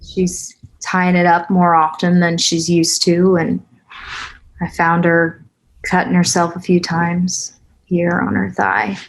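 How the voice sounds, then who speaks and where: A woman's voice: lowish voice, level tone, slow, creaky, heavily acted, rough recording. A woman acting a tough, weary, guarded single mother in a naturalistic screenplay read, blunt and sardonic. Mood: weary, worried. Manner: blunt, sardonic, plain-spoken.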